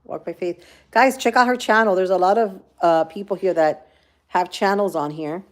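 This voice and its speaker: A woman's voice: medium pitch, moderate pace. A woman with a Cuban accent who grew up in Florida, hosting a casual livestream and rambling to her viewers as she reads their comments. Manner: rambling, talks straight to viewers, many fillers. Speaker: livestream host.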